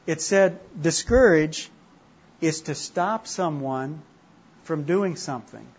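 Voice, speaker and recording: A man's voice: on the high side, slow pace, a little mellow, husky, highs cut off. A man from Minnesota with a Minnesota accent, leading an informal class discussion in a chatty, self-deprecating way. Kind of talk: informal group teaching.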